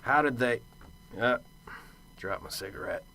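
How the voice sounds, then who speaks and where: An actor playing a cynical, brusque big-city detective in a radio drama, gruff and terse. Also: male voice, medium pitch, a little slow, natural voice. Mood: bitter, brooding.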